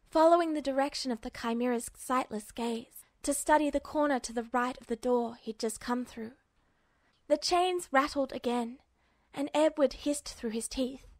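A woman's voice: high-pitched, darkish tone, dry recording. A woman reading a scripted story aloud as an audiobook-style fan fiction narrator. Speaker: podfic narrator.

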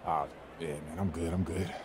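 An actor playing a self-doubting young basketball prospect in a video game cutscene, talking casually and hesitantly. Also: male voice, low-pitched, fairly even intonation, moderate pace, natural voice. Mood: tense. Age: young adult. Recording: clear ambient noise behind dialogue.

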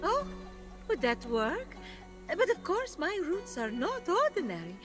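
Sweet tree-bound goddess for a fantasy game: gracious, theatrical, unhurried and very melodic, over music. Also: female voice, extremely high pitch, wildly swooping pitch, full character voice. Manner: gracious, theatrical, saintly sincerity. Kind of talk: video game character acting. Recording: music behind the dialogue.

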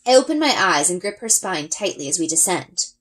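Lively woman reading a story aloud. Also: lowish voice, fairly quick, rough recording. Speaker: read-aloud reader, elementary school. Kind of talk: expressive storybook read-aloud, first-person narration.

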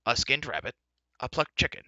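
A man's voice: on the low side, expressive, moderate pace, sharp tone, no room sound, lo-fi sound, heavily acted. Man voicing a roaring, boisterous giant warrior king. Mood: loud, hearty. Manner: roaring, hearty, blustering. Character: huge boisterous bellowing red-headed warrior king, battle-scarred. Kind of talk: fantasy audiobook character voice.

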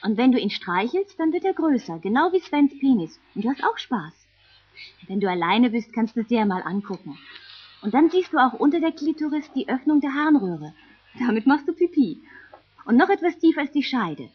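Patient mother, husky, lively intonation, frank explanations, children's radio play, dull tape sound. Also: sits high, normal speed, full character voice. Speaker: actor in a children's audio play. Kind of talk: educational radio play dialogue.

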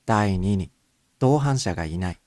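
A man voicing a polite, methodical gentleman who explains things in orderly fashion as an amateur detective in an audiobook. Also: low-pitched, lively intonation, unhurried, no room sound, studio quality, voice pushed down. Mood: reasoning, confident.

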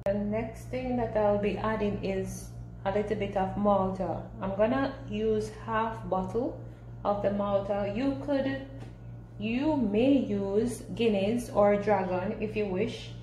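A woman talks through a recipe in a casual, instructional way, at a slow, easy pace. Her mid-pitched voice is picked up in an echoey home kitchen. She explains each step simply. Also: steady tone, dark tone. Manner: unhurried, simple instructional talk. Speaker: home cooking vlogger. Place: small home kitchen, indoors. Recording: roomy echo, clear background noise.

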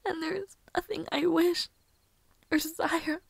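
A very high-pitched, breathy young maid, acted by a woman in an intimate audio roleplay. She is devoted and fragile, speaking very slowly in halting, tender half-whispers. The sound is close and dry. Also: steady tone, no echo, heavily acted. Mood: tender relief.

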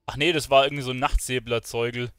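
A man's voice: mid-range voice, level tone, fast, fairly bright tone, smooth, no echo. Casual German gamer guy, close dry mic. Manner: musing aloud, slangy. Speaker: gaming streamer playing and commenting. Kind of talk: casual let's play commentary.

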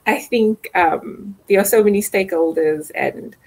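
Female moderator, breathy edge, hesitant and friendly, webcam sound. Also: mid-range voice, unhurried, a little mellow. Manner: friendly, hesitant, many fillers. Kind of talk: moderating an online panel.

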